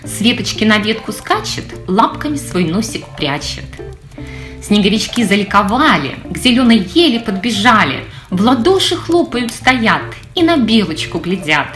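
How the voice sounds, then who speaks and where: A woman reading her own children's fairy tale in verse with an expressive, sing-song delivery. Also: mid-range voice, moderate pace, soft background music. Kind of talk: scripted children's storytelling in verse.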